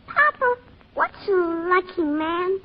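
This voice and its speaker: A woman's voice: very high voice, big pitch swings, slow, full character voice. A woman playing an innocent, inquisitive six-year-old boy in an old-time radio drama. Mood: curious. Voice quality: childlike. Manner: naive, questioning, stubborn when crossed. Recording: dull, noisy old radio recording.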